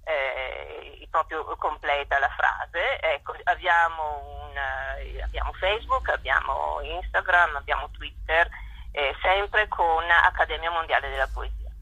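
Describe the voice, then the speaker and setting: A woman speaking as an interview guest, spontaneous, polite and halting as she looks for her words. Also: low-pitched, sharp tone, slightly rough, no echo, poor audio. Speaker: interview guest from a poetry academy.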